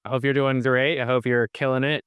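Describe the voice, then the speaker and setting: A man hosting a podcast, asking questions in a relaxed, friendly way. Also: mid-range voice, brisk, clean tone, no room sound. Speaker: podcast host. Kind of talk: casual interview.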